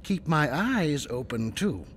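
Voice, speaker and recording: A man playing a sly, insolent, greedy lowlife informant in a space-opera audio drama. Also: big pitch swings, slow pace, slight background noise. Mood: sly, wheedling.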